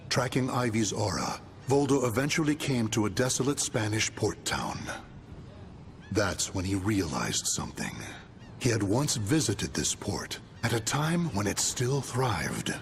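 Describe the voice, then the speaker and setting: A man's voice: on the low side, sing-song, a little slow, creaky, slight background noise. A man delivering scripted, solemn, dramatic narration for a video game story.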